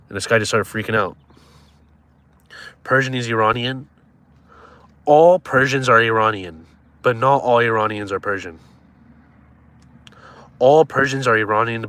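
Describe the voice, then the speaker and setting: A man's voice: fairly low pitch, pushed and bright. A man in his twenties chatting casually on a live stream, joking and teasing viewers as he reads their comments. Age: 20s.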